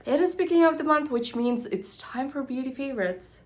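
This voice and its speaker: A young woman vlogging about her beauty favorites, nasal and congested from a cold, talking quickly and sometimes dropping to a near-whisper. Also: sits high, expressive. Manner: chatty, hurried, drops to near-whispers at times. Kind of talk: casual product review to camera.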